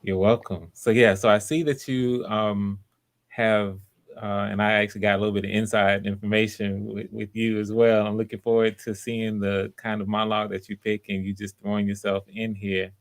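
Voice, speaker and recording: A man with a low voice hosts a live audition session. He coaches casually and encouragingly, often stopping to restart or repeat a phrase as he gives his notes. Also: flat delivery, moderate pace. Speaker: host of live voice auditions, director giving notes.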